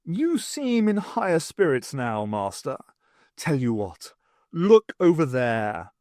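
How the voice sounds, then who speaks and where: A man voicing a jaunty, flattering pet cat in an audiobook, with theatrical, old-fashioned pantomime phrasing. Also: medium pitch, slow pace, no room sound, studio quality. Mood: cheery, coaxing.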